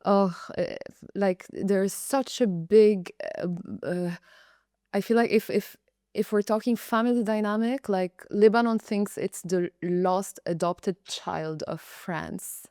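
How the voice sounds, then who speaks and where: A young adult woman from Congo, speaking slowly and thoughtfully as a podcast guest, with pauses and fillers. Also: medium pitch, mellow, no echo, clean studio sound. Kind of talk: reflective personal interview.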